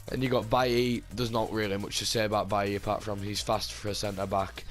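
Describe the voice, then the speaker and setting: Guy w a bit of a hoarse voice rambling thru his gaming commentary, flat monotone, quiet music behind. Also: on the low side, fairly quick. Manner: rambling, with many fillers and restarts. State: slightly hoarse from a lost voice. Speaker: gaming channel host. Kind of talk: casual gameplay commentary.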